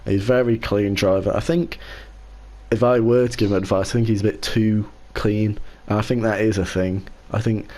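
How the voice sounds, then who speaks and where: A man co-hosting a casual racing podcast, talking in a measured way and softening his opinions as he goes. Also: on the low side, steady tone. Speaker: podcast co-host and league racer.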